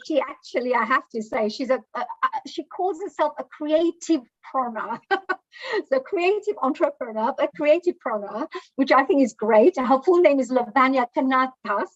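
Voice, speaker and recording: High-voiced effusive woman host, dull sound. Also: animated, a little slow, dry recording. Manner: warm, effusive, with many fillers. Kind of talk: livestream interview hosting.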